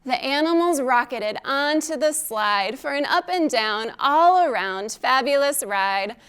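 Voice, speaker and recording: A woman with a high voice reads aloud for young children in a storytime style. Her pace is slow and deliberate, with expressive phrasing and questions aimed at the little ones. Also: clear, resonant tone. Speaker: children's librarian hosting storytime.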